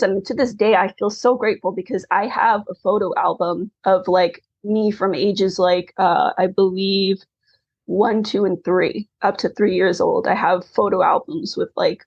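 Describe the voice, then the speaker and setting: A husky, slightly breathy woman in her thirties, an author born in Taiwan with Mandarin as her first language. She talks earnestly and openly, full of "like" fillers, her voice close to tears. The sound is dry and a little dull, as over a video call. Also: medium pitch, dry recording. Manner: earnest, candid, frequent "like" fillers. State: tearful, close to crying. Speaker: guest, adoptee author. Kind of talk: emotional interview conversation.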